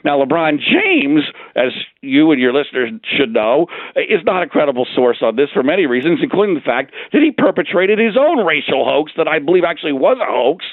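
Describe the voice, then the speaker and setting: A man's voice: a little high, very animated, brisk, few pauses, no echo. A man giving opinionated political talk as a radio show guest, emphatic, argumentative and indignant, in dull lo-fi sound.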